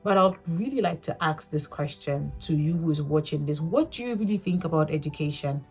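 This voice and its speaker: A woman's voice: low voice, fairly quick, a little mellow, husky, soft background music. A young woman from Africa with a local mother tongue, talking earnestly to camera in a rambling, self-correcting way. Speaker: vlogger. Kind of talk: casual reflective talk to camera.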